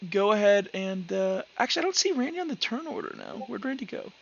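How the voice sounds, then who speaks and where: A high-voiced man runs a tabletop roleplaying game, with very animated pitch. He thinks aloud in casual, informal language. The recording is slightly dull and lo-fi. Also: quick pace, vocal fry. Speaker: game master.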